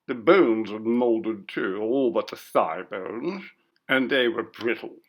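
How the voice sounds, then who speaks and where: A man's voice: lowish voice, expressive, slow, muffled recording, heavily acted. A man voicing a pompous, curt college bursar in a ghost story reading, with a disapproving manner. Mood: grave recounting.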